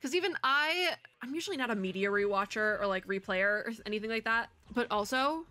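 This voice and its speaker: A young woman rambles cheerfully while she plays, her voice bright and clear. Her nose sounds stuffy, and she talks in a loose, giggly way into a desk mic. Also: a little high, expressive, brisk. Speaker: streamer. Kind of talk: casual gameplay commentary.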